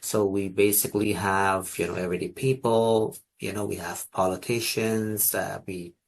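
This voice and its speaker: A man's voice: sits low, fairly even intonation, moderate pace, gravelly. A man from Ghana hosting an online talk show over a video call, speaking formally with many fillers.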